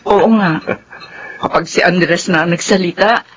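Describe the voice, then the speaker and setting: A woman's voice: on the low side, fairly even intonation, unhurried, slight background noise, close to an everyday voice. An actress playing a fond daughter recalling her mother in a radio drama, speaking with a Filipino accent. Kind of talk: radio drama acting in Tagalog.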